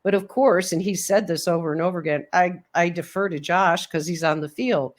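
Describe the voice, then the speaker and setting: A woman with a fairly low voice hosts a relaxed sports chat. She breaks down the game in a steady, measured, analytical way. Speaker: host.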